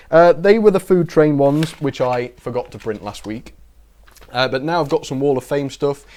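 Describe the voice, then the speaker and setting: A man's voice: mid-range voice, a little mellow. Guy chatting on his live stream, bit of a cold, mid voice, keeps asking folks to tell him he's wrong. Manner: chatty, self-deprecating, invites viewers to correct him. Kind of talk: casual live-stream chat.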